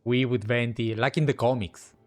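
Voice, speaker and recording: A man's voice: mid-range voice, fairly even intonation, normal speed. A man giving casual, off-the-cuff reaction commentary as a gaming content creator. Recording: faint game music behind. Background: video game music.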